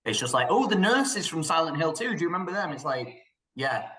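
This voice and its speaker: A man giving opinions in a casual film discussion, animated and joking, sometimes slipping into mock quotes. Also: a little high, a little fast. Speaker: podcast panelist reviewing films.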